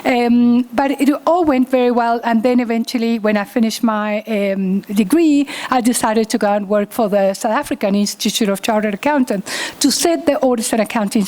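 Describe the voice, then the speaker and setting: Keynote speaker: a Uruguayan-accented woman in her fifties, native Spanish speaker, candid storyteller, pitch a touch high. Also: normal speed, a little mellow. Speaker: guest speaker. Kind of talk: keynote speech.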